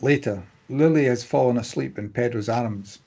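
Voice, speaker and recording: A man's voice: mid-range voice, moderate pace, gravelly, muffled recording, background noise. A man reading stage directions as scripted narration at a table read.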